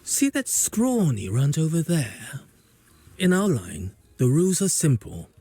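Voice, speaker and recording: A man's voice: on the high side, huge pitch swings, unhurried, warm tone, slightly rough, no echo, full character voice. Swaggering, menacing male game villain. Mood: sly, taunting. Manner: taunting, swaggering. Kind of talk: video game voice acting.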